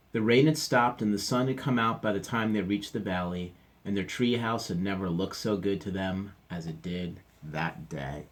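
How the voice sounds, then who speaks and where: A man teacher reading a picture book aloud to children, stopping for gentle chatty asides. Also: lowish voice.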